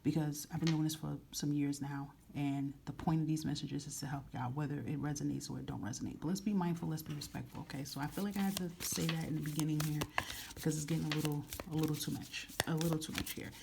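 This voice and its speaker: A soft-spoken woman reading tarot cards to camera in a casual, frank way, often repeating phrases. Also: sits low, fairly even intonation, quick pace, gravelly, background noise. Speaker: tarot reader.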